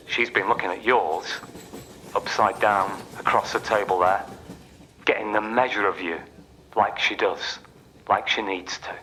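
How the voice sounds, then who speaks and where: An actor playing a sardonic train guard in a radio play, giving mock-official announcements laced with taunts. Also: male voice, fairly low pitch, pushed and bright, a little hiss or hum, rough recording. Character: sardonic male train guard, mockingly needling a passenger.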